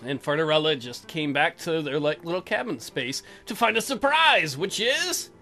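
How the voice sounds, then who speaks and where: A man's voice: on the high side, lively intonation, moderate pace, pushed and bright. A man hosting a let's play, narrating and commenting on a game in a casual, irreverent, jokey way. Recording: music behind the voice.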